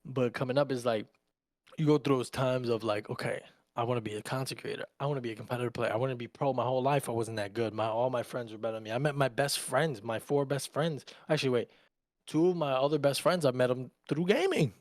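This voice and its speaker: A man in his early twenties talks as a podcast co-host in a close, dry recording. His pitch sits in the middle for a man. He tells personal stories casually, with plenty of slang and swearing. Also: fast talker, no room sound. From Argentina, raised in Louisiana, USA. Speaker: podcast co-host and content creator.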